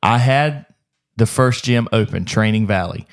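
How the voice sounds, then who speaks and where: Fast-talking man telling a gym story. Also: on the low side. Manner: fast, rambling, swears freely. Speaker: gym owner, podcast host. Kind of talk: casual podcast storytelling.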